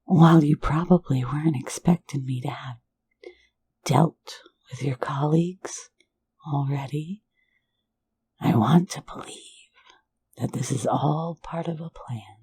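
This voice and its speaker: A woman voicing a teasing, flirtatious phantom thief in an ASMR roleplay, in a soft, intimate whisper right at the mic. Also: sits low, slow, long pauses, husky, full character voice. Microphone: close mic for ASMR.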